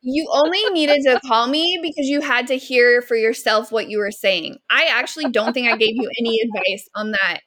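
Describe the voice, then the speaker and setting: A woman's voice: on the high side, moderate pace, hardly any pauses, a bit bright, dry recording, studio quality. A woman co-hosting a podcast, teasing her co-host dryly and laughing often. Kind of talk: casual chat.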